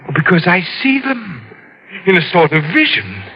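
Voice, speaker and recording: An actor playing a calm, murderous man who claims prophetic visions, in 1940s radio acting. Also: high-pitched, full character voice. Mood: ominous. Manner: calm, measured menace. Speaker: villain in a radio drama. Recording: muffled vintage radio recording.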